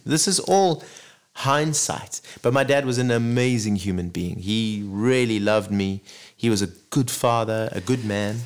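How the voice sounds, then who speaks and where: Earnest, reflective man. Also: fairly low pitch. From Zambia and Zimbabwe. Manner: earnest, reflective, with many restarts. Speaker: guest, musician. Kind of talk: reflective interview conversation.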